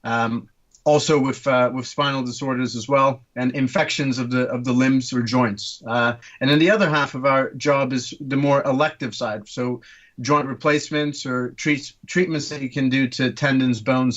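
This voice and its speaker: A man's voice: mid-range voice, fairly even intonation, moderate pace, a bit bright. Hesitant man in his thirties, dull audio. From Turkey. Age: 30s. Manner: hesitant, lots of ums and uhs, explanatory. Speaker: guest orthopaedic surgeon. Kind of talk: casual podcast interview.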